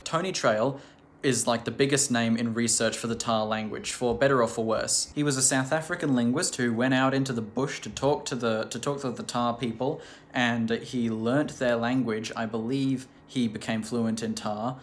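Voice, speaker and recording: Guy rambling excitedly about languages, mid pitch, lots of likes and ums, faint hum behind. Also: normal speed. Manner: chatty, rambling with many "like" and "um" fillers; enthusiastic and curious. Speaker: language channel host. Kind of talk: casual talk to camera.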